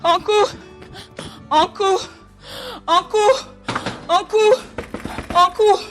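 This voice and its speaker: Actress as a shrill, sobbing young woman, pleading and wailing. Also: steady tone, extremely slow, soft background music, full character voice. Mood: pleading tearful. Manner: tearful, pleading, rising to shouting. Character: desperate young woman Agatha pleading with her uncle. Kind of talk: Nollywood-style drama acting.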